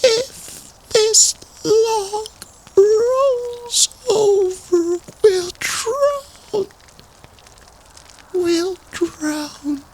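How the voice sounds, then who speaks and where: A man voicing an eerie haunted-house creature that wails slowly in a repeating cry. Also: a little mellow, a little hiss or hum, full character voice, low-quality recording. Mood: eerie wailing. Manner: slow, repetitive high-pitched wailing cry.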